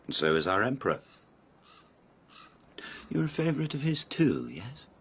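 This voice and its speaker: An actor playing a haughty, sardonic palace lord in a radio drama, speaking with formal, mocking insinuation. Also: male voice, medium pitch, sing-song, moderate pace, slightly rough.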